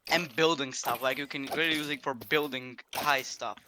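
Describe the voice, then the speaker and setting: A man's voice: mid-range voice, steady tone, normal speed, pushed and bright, slight background noise. A man from Slovakia chatting and joking defensively while playing a game with friends. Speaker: guest player. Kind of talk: casual gaming chat.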